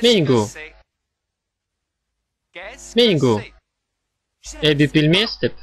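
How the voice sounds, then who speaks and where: Man as a very slow, simple cartoon monster. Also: fairly high pitch, very animated, breathy edge, faint music behind, heavily acted. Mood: puzzled. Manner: simple short phrases with long gaps. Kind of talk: amateur cartoon dub.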